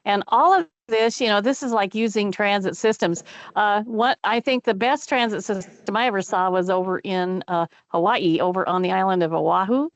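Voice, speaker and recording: Older woman, chatty chair, mid pitch, dry close sound. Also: normal speed, no echo. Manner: chatty, friendly, with fillers. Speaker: committee chair. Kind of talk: meeting introductions.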